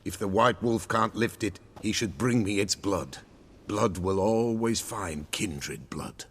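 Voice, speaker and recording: A man's voice: fairly low pitch, slow pace, a little hiss or hum. An actor playing an old male village soothsayer, cryptic and superstitious, who speaks in archaic, folksy sayings in a video game cutscene. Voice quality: gravelly.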